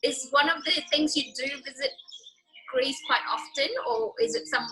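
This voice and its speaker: A woman's voice: high voice, expressive, moderate pace, creaky, sharp tone, slight background noise, low-quality recording. A woman hosting an interview, chatty and effusive, with many fillers as she asks questions. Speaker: interview host. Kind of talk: casual interview chat.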